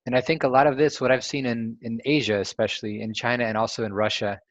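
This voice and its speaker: A man's voice: mid-range voice, fairly even intonation, fast, no echo. A young man hosting a casual chat, asking curious questions and laughing easily. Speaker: host.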